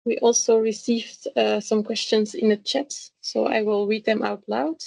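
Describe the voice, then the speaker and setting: A woman moderating an earnings call, managing callers and reading questions out loud. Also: on the high side, level tone, moderate pace, creaky, no echo, low-quality recording.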